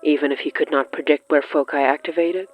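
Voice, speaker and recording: A narrator reading dramatic horror fiction in a measured, halting way with weighty pauses. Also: mid-range voice, fairly even intonation, dull audio, faint music behind. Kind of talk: slow, dramatic scripted narration.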